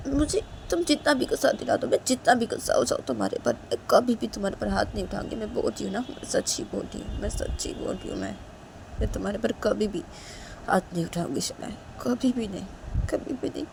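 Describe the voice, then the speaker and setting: A woman voicing a remorseful, tender lover in a story, softly and insistently repeating reassurances and promises. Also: medium pitch, big pitch swings, a little fast, creaky, background noise, voice pushed up. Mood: tender, earnest. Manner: soft, insistent, repetitive reassurance.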